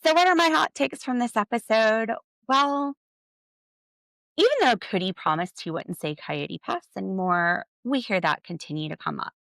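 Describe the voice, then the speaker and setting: An American woman hosting a podcast, giving casual, opinionated commentary in an animated, sarcastic, slangy way. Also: medium pitch, normal speed, vocal fry, dry recording. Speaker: podcast host.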